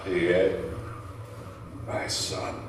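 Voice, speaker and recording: Guy doing a weary old scientist out for revenge, radio play style, slow n solemn, super echoey hall. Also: noisy background. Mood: resigned. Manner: solemn, theatrical, halting delivery. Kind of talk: old-time radio drama acting. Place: live on stage in a community hall. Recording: echoey hall, distant mic.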